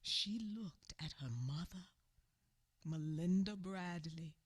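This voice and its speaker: Slow, husky woman narrating mournfully. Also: on the low side. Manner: mournful, theatrical, drawn-out phrases. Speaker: narrator in a radio theater play. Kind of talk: dramatic scripted narration.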